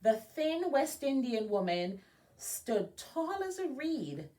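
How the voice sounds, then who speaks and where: A woman's voice: sits high, sing-song, slow, warm tone. A woman from Jamaica, an education nonprofit director, reading aloud for a children's story time with informal asides.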